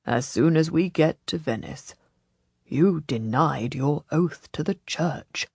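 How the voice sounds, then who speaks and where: A woman voicing a pious older churchman in an audiobook, grave, measured and gently stern. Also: low-pitched, animated, gravelly, slightly rough, dry recording, clean studio sound, voice pushed down, exaggerated voice. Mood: solemn.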